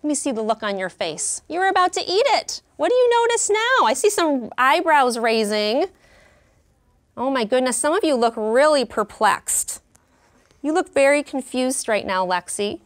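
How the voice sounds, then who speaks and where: A woman in her forties teaching a class of young children, speaking slowly and expressively in an elementary classroom. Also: sits high, big pitch swings. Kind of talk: classroom read-aloud and teaching.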